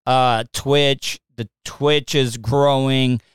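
A man's voice: medium pitch, fairly even intonation, extremely slow, smooth, no room sound, studio quality. A man hosting a livestream in casual chat, often stammering and repeating words. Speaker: livestream host.

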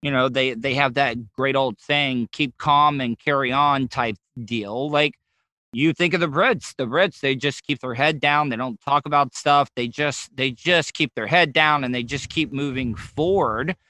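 A man's voice: medium pitch, unhurried, sharp tone, no echo. A man with a Southern American accent hosting a casual interview, chatty and self-deprecating, with frequent restarts. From Southern United States. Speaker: podcast host.

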